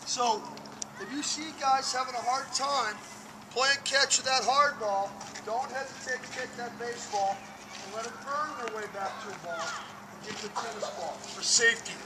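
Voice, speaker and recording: A man coaching a youth baseball clinic outdoors, giving loud call-and-response instructions to the kids, recorded by a camera from a distance. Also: extremely high pitch, normal speed. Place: outdoor school field. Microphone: far camera. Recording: noisy outdoor field recording.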